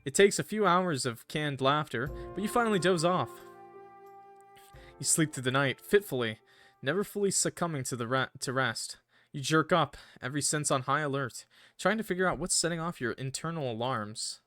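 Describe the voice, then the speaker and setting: A man's voice: a little high, lively intonation, normal speed, pushed and bright, no room sound. A man streaming a visual novel, reading it aloud expressively with casual, jokey reactions. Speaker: gaming streamer. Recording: music behind the voice.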